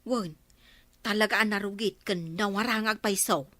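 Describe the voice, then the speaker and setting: A woman's voice: mid-range voice, normal speed, a bit bright, no room sound, lightly acted. A woman playing a chatty, nosy village neighbour in a comedy radio drama. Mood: gossipy.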